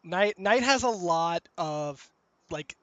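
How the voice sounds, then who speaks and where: A man with a high-pitched voice, hosting a game stream in casual chat and acting out the people in his stories. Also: takes it slowly, no echo, highs cut off. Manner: animated storyteller, acts out the people in his stories. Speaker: streamer host. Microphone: voice chat call.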